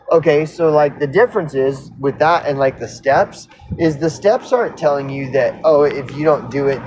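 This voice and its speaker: A man of fifty on an unscripted personal rant, earnest and insistent, halting and repeating himself as he circles back mid-sentence, casually profane. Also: mid-range voice, background noise. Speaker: recovery vlogger.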